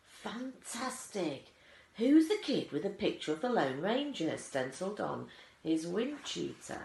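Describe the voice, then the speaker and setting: A woman voicing excited, gossiping onlookers in a storybook crowd, exclaiming in scandalised tones. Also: lowish voice, a little slow, vocal fry, pitched above the performer's own voice, full character voice. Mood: excited, gossipy. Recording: roomy echo.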